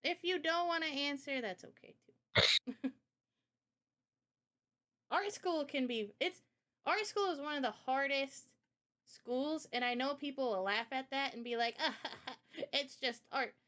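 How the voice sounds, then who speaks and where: Bright, high-voiced giggly woman. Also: slow pace, pauses often. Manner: giggly, laughs often while talking. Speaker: host and visual novel developer. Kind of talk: casual livestream Q&A chat.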